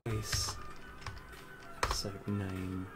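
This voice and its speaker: A man with a fairly low voice talks himself through coding on a livestream, casual and halting, often muttering as he goes. Music plays clearly behind him, along with keyboard typing. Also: level tone. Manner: halting, muttering to self while working. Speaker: game developer livestream host. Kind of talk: casual live coding commentary, thinking aloud. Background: music, computer keyboard typing.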